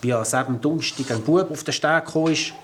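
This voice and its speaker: Actor as a highish-voiced, flustered policeman. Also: fast, a little hiss or hum, close to an everyday voice. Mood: exasperated. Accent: Swiss German. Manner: hesitant, placating. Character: flustered, evasive policeman handing off a tiresome caller. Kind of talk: Swiss German radio-play dialogue.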